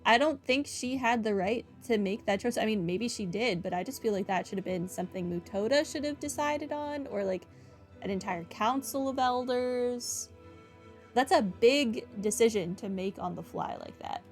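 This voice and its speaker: A woman's voice: a little high, normal speed, faint music behind. A woman doing casual, opinionated game commentary, talking back to the characters. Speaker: gaming playthrough host.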